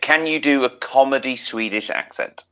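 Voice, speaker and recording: Wry man with dry, drawn-out emphasis. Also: medium pitch, a bit bright, clean tone. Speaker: podcast co-host. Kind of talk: casual comedic chat. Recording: slightly dull lo-fi audio.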